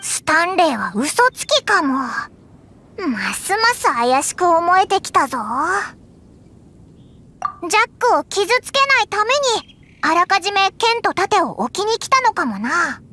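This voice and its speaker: Tiny blunt fairy sidekick for an anime game, super high squeaky kid voice, really bouncy intonation. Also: female voice, sing-song, a little slow, pushed and bright, heavily acted. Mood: suspicious. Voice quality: shrill, squeaky, childlike. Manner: blunt, exclaiming, rough informal speech. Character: small childlike female floating fairy companion, blunt and excitable. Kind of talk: anime-style game voice acting. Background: game music.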